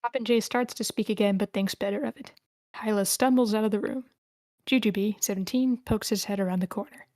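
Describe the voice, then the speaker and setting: A woman's voice: medium pitch, fairly even intonation, brisk, a little mellow, dry recording. A woman reading stage directions as narrator, in scripted narration at a screenplay table read.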